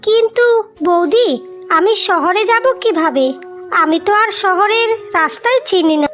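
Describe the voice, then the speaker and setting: A meek, sorrowful young village orphan voiced by a woman with a very high voice. She pleads plaintively in cartoon style, with music underneath. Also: unhurried, a bit bright. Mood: bewildered, pleading. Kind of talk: dramatic cartoon voice acting.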